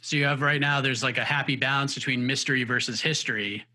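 A man interviewing a fighter, asking long, relaxed questions with fillers. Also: mid-range voice, fast talker.

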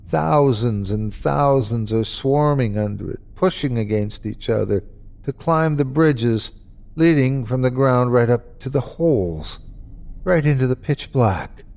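A man voicing an anguished dying man in a horror story, his voice strained and halting as he speaks in short bursts. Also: mid-range voice, expressive, creaky, a little mellow. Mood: dread, awe. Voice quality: strained, sometimes whiny or growling. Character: dying man, frightened, awed, turning bitter and hateful. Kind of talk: dramatic horror story reading.

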